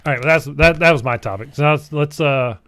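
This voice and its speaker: A man's voice: on the high side, fast, fairly bright tone, no echo. A man co-hosting a gaming show, chatting casually and bluntly, with swearing and repeated phrases for emphasis. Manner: blunt, profane, emphatic repetition. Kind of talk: casual chat about video games.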